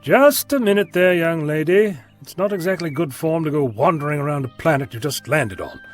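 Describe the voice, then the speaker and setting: An eccentric genius time traveller acted by a man in an audio drama. He is breezy, wordy and wry, with a very lively pitch, and faint music plays behind him. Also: brisk, lightly acted. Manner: wordy, breezy, gently lecturing.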